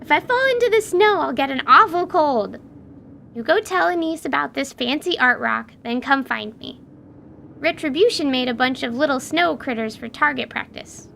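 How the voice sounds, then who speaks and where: A woman's voice: sits high, expressive, normal speed, creaky, pushed and bright, a little hiss or hum, exaggerated voice. A woman playing a cheerful, chatty, protective big sister in an audio drama. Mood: playful. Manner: casual, chatty.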